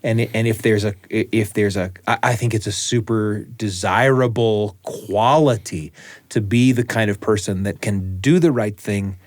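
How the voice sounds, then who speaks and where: A middle-aged American man hosting and storytelling, speaking in an earnest, deliberate, reflective way. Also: fairly low pitch, darkish tone, no echo. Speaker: host and storyteller. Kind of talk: reflective conversation and personal storytelling.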